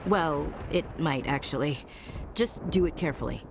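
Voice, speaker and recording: An actress playing a tough, cool-headed soldier in a video game, with a dry, plain-spoken manner. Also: female voice, low-pitched, normal speed, vocal fry, background noise. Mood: dry, wary.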